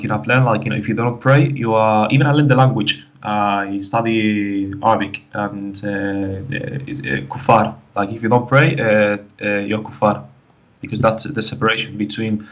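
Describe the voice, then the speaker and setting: A man from the Canary Islands with a Spanish-influenced English accent tells his story casually, halting and repeating himself with many conversational fillers. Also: lowish voice, a bit bright, highs cut off. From Canary Islands, Spain. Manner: halting, repetitive, full of "like, you know". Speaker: guest caller telling his story. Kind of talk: casual personal testimony, conversational.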